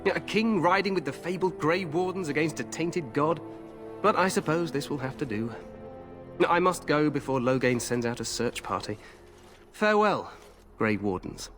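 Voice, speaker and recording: An actor playing a confident, glory-hungry young king in a fantasy game, regal, grand and expressive. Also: a little high, moderate pace, soft background music. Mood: cocky, eager. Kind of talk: fantasy video game acting.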